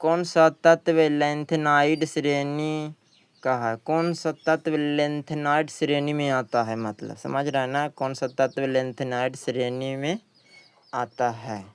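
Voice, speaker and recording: A man's voice: on the high side, steady tone, measured pace, smooth, no echo. A man teaching by reading exam questions and explaining the answers, often repeating himself. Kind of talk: exam question walkthrough lesson.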